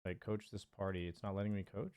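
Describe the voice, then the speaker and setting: A man's voice: lowish voice, flat delivery, a little fast, a little mellow, clean tone. A man coaching a player one-on-one in a loose, chatty way, with lots of fillers and the odd swear word. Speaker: game coach. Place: streaming from home.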